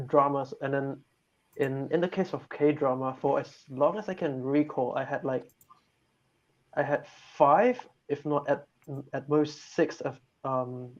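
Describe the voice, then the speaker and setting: A man from Malaysia, talking hesitantly as a guest, thinking aloud with many restarts. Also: medium pitch. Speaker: guest on talk show. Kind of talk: casual chat. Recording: dull lo-fi mic.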